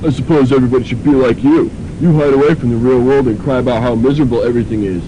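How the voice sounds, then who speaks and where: A man playing a gruff, irritable young college athlete in an amateur radio drama. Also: racing, dark tone, lightly acted. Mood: combative. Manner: sarcastic, combative. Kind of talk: student radio drama acting. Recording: noisy, rumbling amateur radio recording.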